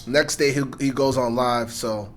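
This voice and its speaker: A young man hosting a casual interview, relaxed and easy to laugh, often affirming his guest. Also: medium pitch, normal speed. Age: young adult. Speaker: host, therapist.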